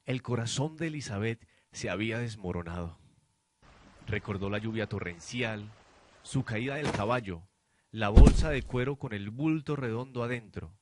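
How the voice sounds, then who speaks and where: A young man narrates a radio drama in a lowish voice. His reading is scripted and dramatic. The amateur recording carries plainly audible noise. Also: animated, moderate pace. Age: young adult. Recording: noisy amateur student production.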